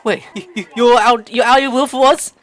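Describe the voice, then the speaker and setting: A woman doing gameplay commentary and reading story text aloud, halting and excitable, often repeating words. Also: fairly high pitch. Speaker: gameplay video host.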